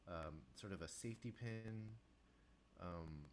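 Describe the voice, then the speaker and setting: A young man with a very low voice, casually talking to himself while composing on a live stream, rambling with restarts and asides. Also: slow, a little hiss or hum. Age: young adult. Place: small room indoors. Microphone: desk microphone, wearing headphones.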